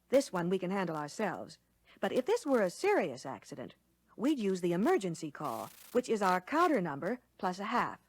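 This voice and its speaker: A woman playing a courteous American lunch counter waitress, explaining service clearly in a scripted 1960 training film. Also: medium pitch, no echo. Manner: courteous, instructive, clearly worded.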